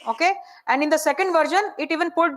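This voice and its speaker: A soft-spoken woman with an Indian English accent, teaching a hands-on AI workshop and checking in with the room often. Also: extremely high pitch, fast talker. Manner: chatty, checks in often with "right?" and "okay?". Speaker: AI workshop presenter.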